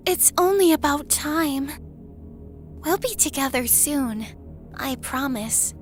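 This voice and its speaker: A woman playing a lovesick, obsessive teenage schoolgirl in an anime-style story, cooing in drawn-out phrases. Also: high voice, animated, faint music behind. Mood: dreamy, obsessive. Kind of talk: anime-style visual novel voice acting.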